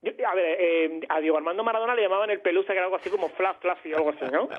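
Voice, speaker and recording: A man co-hosting a bilingual radio show, thinking aloud and guessing at English football idioms, mixing Spanish and English. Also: high voice. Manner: quick, thinks aloud, lots of hedging fillers. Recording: tinny phone-line sound.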